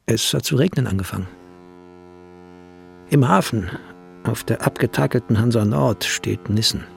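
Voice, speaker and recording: Radio play: lonely, intense male narrator, introspective, slow delivery, gravelly edge. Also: medium pitch, a little mellow. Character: brooding, lonely male wanderer, intense and observant. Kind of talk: German radio play, first-person narration.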